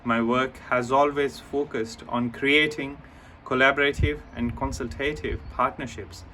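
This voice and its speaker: A man's voice: mid-range voice, steady tone, sharp tone, slight background noise. Man from India, heard from a distance. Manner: measured, lists points one by one. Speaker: new board member. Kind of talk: prepared self-introduction.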